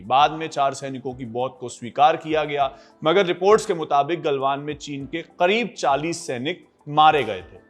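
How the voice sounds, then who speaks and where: A man delivers a scripted news explainer in a fairly high voice. He reads as a narrator, with faint music playing underneath.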